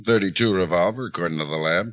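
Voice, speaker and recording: An actor playing a shrewd, folksy small-town police chief in a 1950s radio drama, with drawling phrases. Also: male voice, sits low, quick pace, fairly bright tone. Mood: wry, suspicious.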